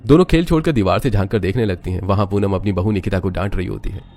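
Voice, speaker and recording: A man reads a dramatic story in a low voice. His delivery is quick and scripted, carried over a music bed. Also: darkish tone. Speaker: narrator. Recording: background music under voice.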